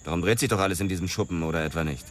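An actor playing a blunt young detective who questions a suspect in a brusque, pressing way. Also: male voice, sits low, rapid-fire, slight background noise, close to an everyday voice. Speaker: police officer. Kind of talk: radio drama acting.

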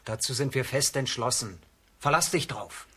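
An actor playing a stubborn, wary peasant brother in a children's radio drama, speaking curtly and plainly. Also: male voice, mid-range voice, normal speed, pushed and bright, a little hiss or hum, natural voice. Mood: curt, defiant.

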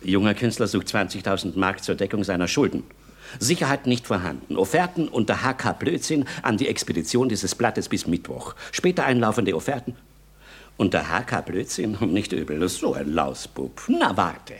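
An actor playing an older musician and father in a radio comedy, gallant, sardonic and dryly witty, with a husky, theatrical delivery. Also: fairly low pitch, very animated, moderate pace. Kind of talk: stage comedy radio acting.

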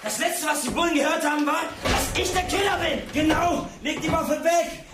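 A man's voice: very high voice, steady tone, moderate pace, shouting, over loud music. An actor playing an agitated, sarcastic teenage boy in a naturalistic German radio drama, confrontational and foul-mouthed. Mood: alarmed, frantic. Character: agitated, sarcastic teenage male school shooting witness.

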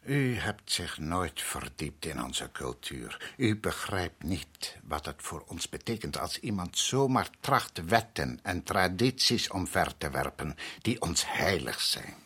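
An actor playing a proud island head priest in a radio drama, courteous and measured yet stubborn, with a husky voice. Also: male voice, low voice, very animated, pushed and bright, exaggerated voice. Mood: indignant. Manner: measured, courteous, evasive, insistent.

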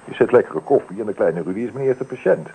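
A gravelly, bashful lodger. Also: male voice, mid-range voice, expressive, fast, warm tone, rough recording. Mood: modest, reassuring. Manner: hesitant, self-effacing. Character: modest, bashful male therapist seeking lodgings. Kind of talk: Dutch radio drama acting. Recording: radio drama recording.

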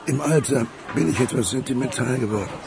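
A man acting in a German radio crime drama as a courteous, measured older businessman with a crooked past, calmly persuasive. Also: medium pitch, creaky, crowd noise, noisy background, close to an everyday voice. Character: suave ageing provincial magnate, ex-crook turned capitalist, calmly persuasive.

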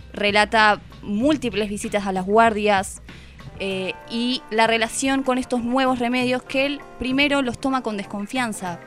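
A woman's voice: on the high side, fairly bright tone. Reflective Argentine woman over background music. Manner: reflective, hesitant, rephrasing as she goes. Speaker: radio book columnist. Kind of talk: conversational book review. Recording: music playing under the voice.

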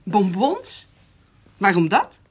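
A woman's voice: medium pitch, wildly swooping pitch, slow pace, a little hiss or hum. A woman playing a suspicious, curt farmer's wife with guarded hurt in a radio play. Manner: terse, guarded.

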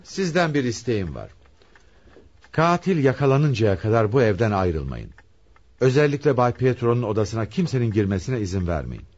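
An actor playing a commanding police commissioner in a radio play, authoritative, deliberate and probing. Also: male voice, medium pitch, very animated, a little mellow, lo-fi sound. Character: commanding male police commissioner leading a murder investigation. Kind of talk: radio theatre acting.

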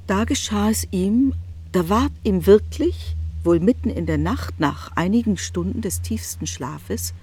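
An actress as a grave storyteller, relating a biblical tale with deliberate literary delivery in a radio drama. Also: female voice, mid-range voice, lively intonation, darkish tone, husky. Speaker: narrator.

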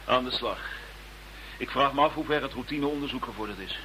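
An actor playing a thoughtful, amiable investigator who reasons aloud in a radio play. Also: male voice, mid-range voice, a little fast, bright, forceful tone, lightly acted, rough recording. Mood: musing, wry. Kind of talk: scripted radio play acting. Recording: radio play with clear background noise.

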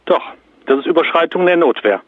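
Tinny phone-line man, animated and insistent. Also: on the high side, a little fast, no echo. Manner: insistent, blunt, repeats himself. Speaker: shop worker taking a customer's phone call. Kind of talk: spontaneous phone conversation. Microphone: telephone. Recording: tinny, narrow telephone line.

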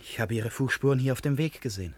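A man's voice: mid-range voice, quick pace, a little hiss or hum, close to an everyday voice. An actor in a German radio drama playing an erratic, boastful, needling man who swings between confiding and agitation. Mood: courteous.

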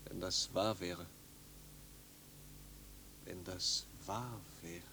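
An actor playing a restless, tormented young wanderer in a 1950s German radio drama, theatrical and brooding, between dreamy and despairing. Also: male voice, low voice, fairly even intonation, extremely slow, creaky, a little hiss or hum, exaggerated voice. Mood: weary, brooding. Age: young adult. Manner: theatrical, brooding, swings from hushed murmur to loud outcry.

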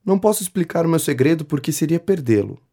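An actor playing a pompous, flattering inventor who petitions a politician, grandiloquent and effusive in a period comedy audio drama. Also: male voice, a little high, fast. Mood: proud, grandiose. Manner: grandiloquent, effusive, theatrically indignant. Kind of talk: audio drama acting of a 19th-century comedy.

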